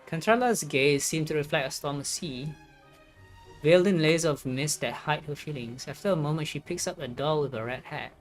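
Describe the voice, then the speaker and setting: A woman's voice: low-pitched, normal speed. Woman game streamer, casual commentary, stammering, amused manner, music behind. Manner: amused, stammering, repeats words. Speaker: streamer playing a story game. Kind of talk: casual gameplay commentary and reading aloud.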